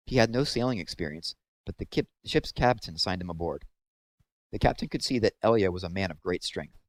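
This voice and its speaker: A man's voice: lowish voice, rough recording. A man narrating a children's novel as a read-aloud, in scripted storytelling style.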